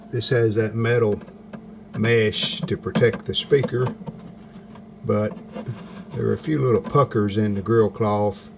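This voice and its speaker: Slow, low-voiced man demonstrating repairs. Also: gravelly, background noise. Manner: unhurried, plainspoken, pausing mid-sentence. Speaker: host of a restoration how-to video. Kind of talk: casual hands-on demonstration narration.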